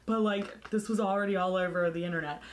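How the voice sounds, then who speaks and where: A woman beauty vlogger talking casually to camera, hoarse as she loses her voice, candid and blunt in her opinions. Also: medium pitch, fairly even intonation, fast talker, a little hiss or hum.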